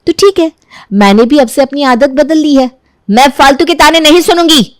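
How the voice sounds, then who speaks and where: A woman playing a young daughter-in-law in a story, firm and measured, polite but standing her ground. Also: high voice, no echo, clean studio sound, pitched above the performer's own voice, natural voice. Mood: indignant, resolute.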